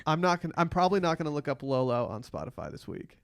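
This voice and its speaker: A man's voice: a little high, lively intonation, fast, creaky, a bit bright, no room sound, studio quality. An American man co-hosting a casual recap chat, giving his opinions bluntly. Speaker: podcast co-host.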